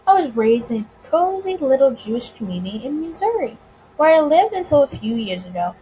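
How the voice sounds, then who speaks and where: A woman's voice: high-pitched, very animated, brisk, warm tone, a little hiss or hum, poor audio. A woman voicing a suave, smug male bootlegger in a visual novel, courteous, wordy and self-assured. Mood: reflective. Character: suave, smug, teasing male gangster bootlegger of 1920s America.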